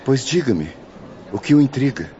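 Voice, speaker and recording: A man's voice: medium pitch, big pitch swings, normal speed, mellow, lightly acted. A man playing a kindly young spiritual instructor in a radio drama, courteous, formal and reassuring. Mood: kindly, attentive. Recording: dull lo-fi audio, faint ambient noise.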